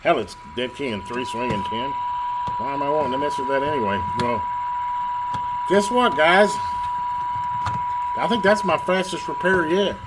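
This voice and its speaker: A man talking casually through a hands-on repair as a technician, folksy and thinking aloud at his own unhurried rate. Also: fairly high pitch. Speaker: radio repair technician and video host. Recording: loud electrical hum and background noise.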